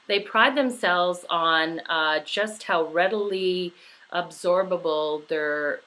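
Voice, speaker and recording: A middle-aged woman from Western Canada chatting warmly about skincare products, gushing, with many fillers and restarts. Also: on the low side, slow, rough recording. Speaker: beauty vlogger. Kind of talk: casual product review.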